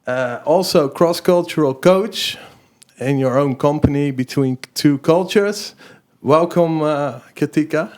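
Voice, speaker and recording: Slow-talking male host. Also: a little high, darkish tone. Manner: hesitant, many restarts and laughs. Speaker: podcast host. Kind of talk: interview hosting.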